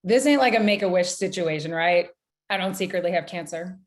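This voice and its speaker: A woman acting a sharp-tongued fan in her early thirties in a screenplay table read, with a wry, conversational delivery. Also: fairly low pitch, a little fast, close to an everyday voice. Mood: starstruck.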